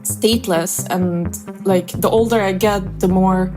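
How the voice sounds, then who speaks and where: Interviewee, young woman, mid pitch, halting, soft music underneath. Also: steady tone. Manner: hesitant, halting, with fillers. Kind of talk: casual personal account.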